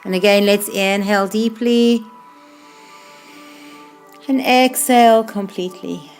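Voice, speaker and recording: A woman yoga instructor leading a guided meditation in a slow, calm, soothing way, with unhurried phrases that trail off softly. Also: medium pitch. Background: music playing behind the voice.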